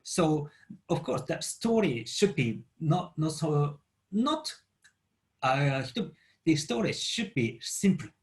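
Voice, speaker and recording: A Japanese man speaking English as a second language with a Japanese accent, explaining a book in a halting way as he searches for words. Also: on the high side, very animated. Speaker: book channel host.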